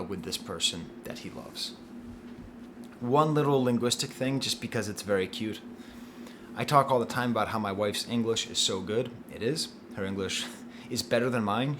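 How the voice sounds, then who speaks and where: An American man hosting a casual album review, chatty and often laughing, swinging from low murmurs to excited bursts. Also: noisy background. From United States.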